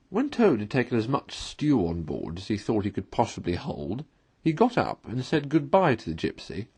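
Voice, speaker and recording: A man reading a classic story aloud as narrator, in an expressive storytelling style. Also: medium pitch, slightly rough.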